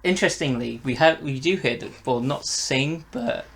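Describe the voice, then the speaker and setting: A man's voice: medium pitch, moderate pace, vocal fry, a bit bright. A man co-hosting a casual, enthusiastic review conversation. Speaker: podcast co-host reviewing audio dramas.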